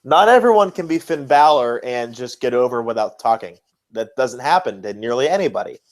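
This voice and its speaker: A man's voice: mid-range voice, lively intonation, brisk, poor audio. A man co-hosting a casual wrestling talk podcast, blunt and critical, often repeating phrases to hammer a point home. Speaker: podcast co-host. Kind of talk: casual wrestling commentary chat.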